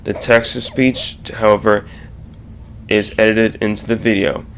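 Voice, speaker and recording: A man with a heavily creaky, gravelly voice explains things as the presenter of an informal slideshow. His delivery is halting, with restarts mid-sentence. The amateur voice-over has faint noise behind it. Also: fairly low pitch, flat delivery.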